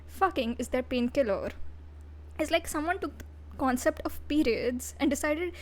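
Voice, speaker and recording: High-voiced woman, blunt and profane. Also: normal speed. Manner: blunt, profane, with giggly asides. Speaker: VTuber reviewer. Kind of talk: casual livestream review.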